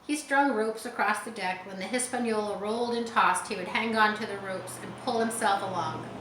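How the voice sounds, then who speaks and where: A woman's voice: mid-range voice, moderate pace. Woman reading a children's story, echoey room. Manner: expressive, explains words to young listeners. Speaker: audiobook reader. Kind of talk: read-aloud children's storytelling with explanatory asides. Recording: roomy echo, faint background noise.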